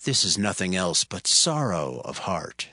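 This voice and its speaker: Grave, regal king: low, bright-edged voice, measured and commanding, for scripture drama. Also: sing-song, no echo, clean studio sound, full character voice. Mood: solemn, questioning. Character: grave, regal Persian king questioning his servant. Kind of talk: dramatized Bible acting.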